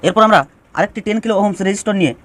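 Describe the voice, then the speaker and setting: A man's voice: high-pitched, racing, a bit bright. A man giving an informal step-by-step DIY electronics tutorial, explaining and restating phrases as he goes.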